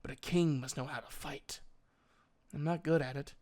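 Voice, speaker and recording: A man voicing a proud elf mage in a storybook reading, a gruff but kindly mentor with emphatic, old-fashioned speech. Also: a little high, steady tone, moderate pace, darkish tone, lightly acted. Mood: exasperated.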